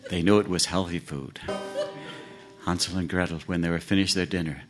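A man telling a fairy tale theatrically, with dramatic, deliberate phrasing and pauses. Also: deep voice, steady tone, music in the background. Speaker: storyteller.

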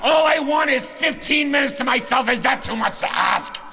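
A man voicing an exasperated fox father, shouting in a high, strained voice. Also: animated, normal speed, vocal fry, in a large hall, soft background music, heavily acted. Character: frazzled fox father at bedtime.

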